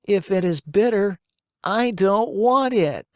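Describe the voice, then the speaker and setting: A storyteller voicing a whiny, stubborn wooden marionette boy in a high, strained, whining voice. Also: animated, slow, no echo, studio quality. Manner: bargaining, then wailing and pleading.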